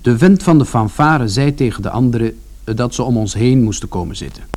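A man's voice: mid-range voice, darkish tone, close to an everyday voice. An actor playing a wry, sardonic first-person narrator in a radio play, telling his family story in blunt colloquial words. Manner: sardonic, colloquial, mildly swearing.

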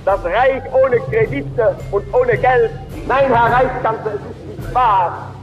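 A man's voice: very high voice, slow, dull audio, soft background music. A man delivering an accusing, declamatory political speech as an opposition politician.

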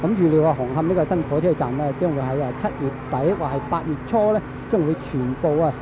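A man with a Hong Kong accent giving live radio news commentary in a halting way, with frequent drawn-out fillers. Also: on the high side. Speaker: radio reporter. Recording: dull, noisy archival radio broadcast.

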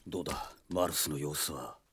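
A man playing a gruff, bossy veteran warrior in a radio drama, with blunt, rough-spoken commands and a husky voice. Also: very deep voice, brisk, fairly bright tone, a little hiss or hum. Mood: scolding.

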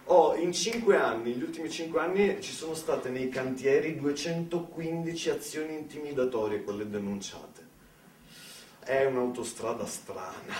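A man performing a theatrical storytelling monologue in a conversational, ironic way, with dramatic pauses and emphasis. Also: mid-range voice, slight background noise. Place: echoey indoor performance space, mic at a distance. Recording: echoey room, distant microphone.

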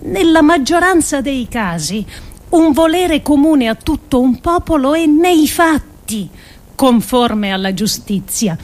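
A woman performing a scripted radio reading in a measured, deliberate, declamatory way with pauses. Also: a little high, a little mellow. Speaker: performer reading an adapted essay.

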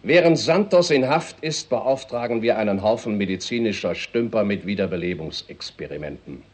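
An actor playing a blunt, ruthless finance minister in a 1960s radio drama, speaking in sarcastic, emphatic oratory. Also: male voice, lowish voice, lively intonation, moderate pace. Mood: cynical, needling.

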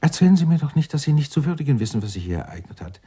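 An eccentric old clerk in his 60s, radio play, pompous and worked up, mellow voice jumping all over. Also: a little high, rapid-fire, breathy edge, exaggerated voice. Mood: indignant, excited. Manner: pompous, florid, excitable. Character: eccentric, indignant 64-year-old Dutch tax-law clerk, self-righteous. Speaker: murder suspect being questioned. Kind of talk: theatrical radio drama acting.

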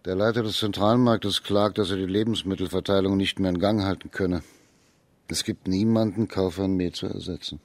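Grave, low-voiced judge. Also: male voice, steady tone, moderate pace, no room sound. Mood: businesslike, commanding. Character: grave, burdened judge and emergency governor of imperial China. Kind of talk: German radio play acting.

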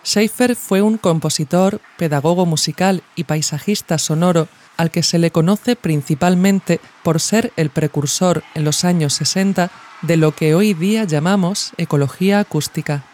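A narrator reads a scripted audio essay in a measured, reflective way, phrasing each thought deliberately. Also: medium pitch, a little mellow. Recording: music behind the voice. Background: music.

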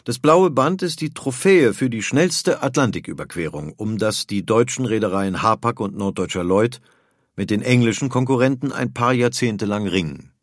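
A man's voice: lowish voice, expressive, normal speed, dry recording, clean studio sound. A man reading scripted narration for an audio history documentary.

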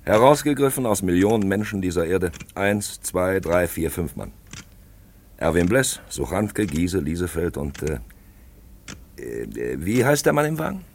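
A man acting a pensive, insistent narrator figure in a 1950s radio play, declaiming and asking probing questions with hesitant pauses. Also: low-pitched, very animated, a little hiss or hum, heavily acted. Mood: musing. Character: pensive, insistent male narrator figure who stages the action. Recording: 1950s radio drama recording.

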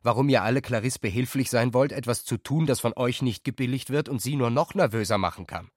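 A man's voice: medium pitch, brisk, dry recording, studio quality, lightly acted. A man playing an agitated, exasperated husband in a literary radio drama, insistent and emphatic.

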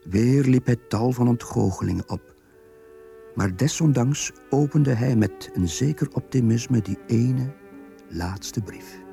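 An actor narrating a radio drama as a measured, literary storyteller. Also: male voice, mid-range voice, expressive, vocal fry, dark tone, soft background music.

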